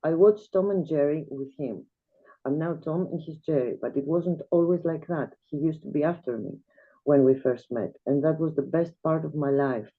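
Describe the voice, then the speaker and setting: A woman from Greece, reading her own flash fiction aloud in a measured, quiet way as a guest reader. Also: low-pitched. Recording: tinny video-call audio.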